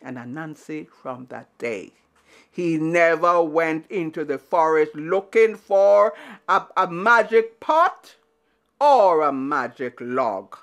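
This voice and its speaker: A woman storyteller telling a tale to children in an animated, dramatic way, building through repetition and pauses. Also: deep voice.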